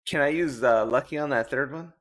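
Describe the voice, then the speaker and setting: A man's voice: mid-range voice, brisk, a bit bright. A man talking casually as a player in a tabletop roleplaying game.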